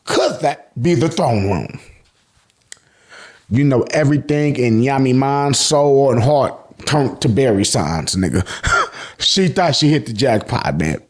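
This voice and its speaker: A man's voice: mid-range voice, animated, a little slow. An American man hosting a casual, slangy recap, telling the story colloquially and acting out reactions. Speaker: YouTube host recapping manga.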